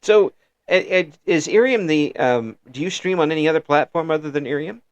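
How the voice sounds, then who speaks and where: A man hosting a casual interview, talking in halting restarts and chuckling often. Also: fairly high pitch, dry recording. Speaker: interview show host. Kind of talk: casual video-call interview chat.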